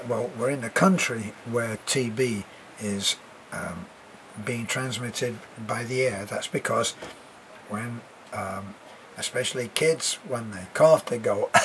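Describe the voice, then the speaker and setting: An older British man talks casually to camera about everyday life abroad, rambling, with plenty of ums and restarts. His voice sits at an ordinary middle pitch and sounds a little congested from a lingering cough. Steady background noise runs beneath him. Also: rough recording. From Britain. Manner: rambling and chatty, many fillers and restarts. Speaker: expat vlogger.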